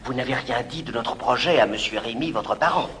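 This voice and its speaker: A man's voice: medium pitch, fairly quick, a bit bright, a little hiss or hum, natural voice. A man playing a scheming former valet in a classic stage comedy, insistent and laughing as he coaxes his master. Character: Dubois, scheming former valet, confident and bossy. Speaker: actor in radio play.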